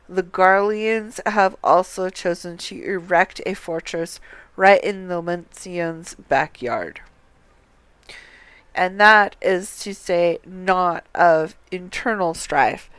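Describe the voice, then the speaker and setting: A woman streamer reading fantasy game dialogue aloud in a measured, deliberate way, close to the microphone. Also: on the low side, fairly bright tone, smooth, no room sound.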